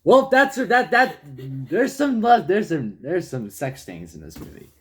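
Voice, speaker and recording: A young man co-hosting a casual movie review chat, loose and excitable, with restarts and the odd swear word. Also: on the high side, unhurried, slight background noise. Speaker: co-host of a movie review channel.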